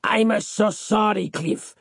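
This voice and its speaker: Angry italian papa in an audiobook, high wailing voice, super dramatic, big italian accent. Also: male voice, huge pitch swings, a little slow, slightly rough, no echo, clean studio sound, heavily acted. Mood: apologetic. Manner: melodramatic, exclamatory. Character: hot-tempered Italian restaurant patriarch, wailing and vengeful father. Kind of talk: audiobook character voice.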